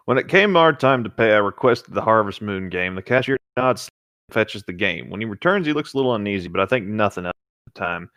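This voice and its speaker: Lively, low-pitched man, playful and chatty. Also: quick pace, no echo, studio quality. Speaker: co-host reading and riffing on internet horror stories. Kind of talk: casual read-aloud with banter.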